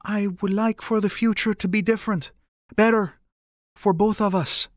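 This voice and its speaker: A man's voice: high voice, fairly even intonation, moderate pace, no echo, clean studio sound, pitched above the performer's own voice, lightly acted. A man voicing a middle-aged mother in an audiobook, anxious, regretful and tender, with careful, hesitant, formal phrasing. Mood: hopeful, tentative. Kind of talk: audiobook character dialogue.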